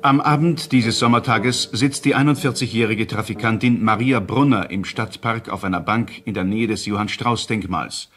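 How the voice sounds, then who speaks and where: An actor as a crime chronicle narrator, reading a scripted report in a formal, factual manner. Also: male voice, on the low side, normal speed, few pauses, fairly bright tone, soft background music, close to an everyday voice. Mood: factual, grave.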